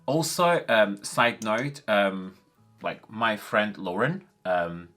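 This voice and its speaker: Chatty Italian-accented man, bright voice. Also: on the low side, animated, slow, soft background music. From Italy. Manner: chatty, many fillers like um and like. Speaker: beauty vlogger. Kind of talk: casual chat while doing makeup.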